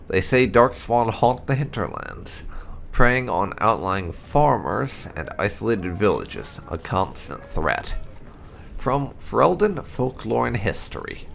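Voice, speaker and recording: A man hosting a gaming channel, reading fantasy game lore aloud in a measured storytelling way. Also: lowish voice, gravelly. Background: background music.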